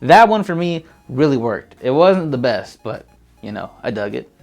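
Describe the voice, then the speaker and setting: A man's voice: mid-range voice, big pitch swings, moderate pace, vocal fry, a little mellow. Laid-back man chatting, music underneath. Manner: laid-back, slangy, rambling. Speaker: co-host. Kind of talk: casual chat reviewing movies. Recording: music playing behind. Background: background music.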